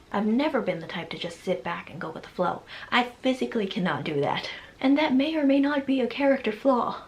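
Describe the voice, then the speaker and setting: Gravelly anxious young woman vlogging. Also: medium pitch, slight background noise. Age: 20s. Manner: anxious, rambling, laughs often. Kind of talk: casual confessional vlog.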